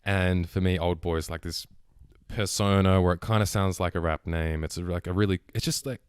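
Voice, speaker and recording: A man's voice: sits low, steady tone, a little fast, clean tone, no echo, clean studio sound. A man hosting a podcast, chatting casually and rambling with lots of "like" and restarts. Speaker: podcast host. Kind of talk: casual chat.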